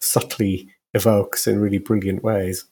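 A man's voice: on the low side, level tone, measured pace, mellow, slightly rough. A man interviewing a guest conversationally, asking wordy questions that circle and correct themselves. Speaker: podcast interviewer.

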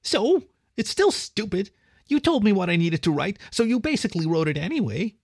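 A man's voice: sits high, sing-song, normal speed, fairly bright tone, no echo, studio quality, voice pushed up, full character voice. Man putting on a reedy, petulant little squirrel boy. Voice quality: slim, reedy. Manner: sulky, stubborn. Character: nervous, petulant young squirrel boy at camp.

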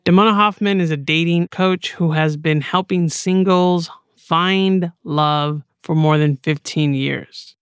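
American man, podcast co-host, crisp tone, somewhat high pitch, conversational, dry close mic. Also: steady tone, slow pace, few pauses, fairly bright tone, no echo.

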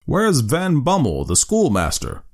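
A man's voice: medium pitch, huge pitch swings, a little slow, voice pushed up, full character voice. A man voicing a bewildered, poor old village man who pleads in a raised voice. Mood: anxious.

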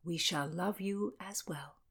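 A woman voicing a cold, menacing noblewoman interrogator who speaks slowly and quietly. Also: vocal fry, breathy edge, full character voice. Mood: coldly menacing. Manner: slow, low, icy and controlled.

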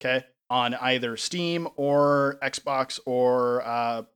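Podcast cohost guy, animated, laughs through his stories, average pitch. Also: slow pace, smooth. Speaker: podcast co-host. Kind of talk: casual chat.

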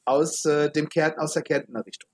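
A man's voice: a little high, fairly even intonation, moderate pace, no echo, studio quality. A man hosting a podcast in casual chat, chatty and full of fillers. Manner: chatty, many fillers, talks of his age. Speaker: podcast host.

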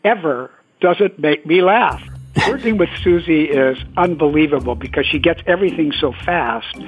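A man with a high, husky voice and a lively, swooping delivery chuckles as he talks. The sound is tinny and phone-like, with faint music behind. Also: normal speed. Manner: amused, warmly admiring, chuckles. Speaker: TV director. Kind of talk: interview.

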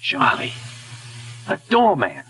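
An actor playing an emotional, slangy young stage performer in 1940s radio style. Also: male voice, sits high, slow pace, heavily acted. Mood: urgent, stunned. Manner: slangy, excitable. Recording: old 1940s radio recording, music behind.

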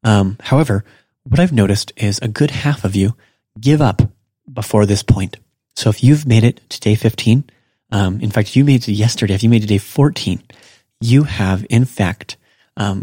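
A man hosting a podcast, giving a casual language lesson and slowly repeating example phrases. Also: lowish voice, warm tone, no echo. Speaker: podcast host and Japanese teacher.

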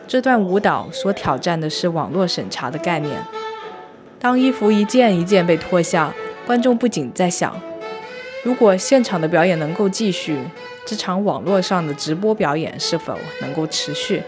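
A woman narrating a scripted first-person arts audio log in a reflective, measured, literary manner. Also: fairly low pitch, expressive. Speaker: host. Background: music.

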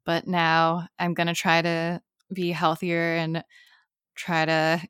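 A woman's voice: fairly low pitch, monotone, slow pace, a bit bright, smooth, no echo, studio quality. A young woman co-hosting a podcast in casual, upbeat chat. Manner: chatty, upbeat, laughs easily. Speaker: podcast co-host.

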